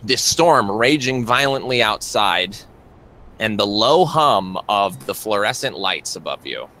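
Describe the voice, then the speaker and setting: A man with a bright, forward voice narrates a tabletop horror game as its game master. His pitch sits in the middle range, and he feels his way through descriptions, repeating phrases as he builds the scene. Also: lively intonation, a little slow.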